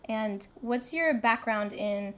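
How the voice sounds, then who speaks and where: A woman's voice: a little high, a bit bright. A young woman hosting a casual interview, chatty and easygoing, with plenty of filler words. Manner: quick, chatty, lots of fillers like "like" and "you know". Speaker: host.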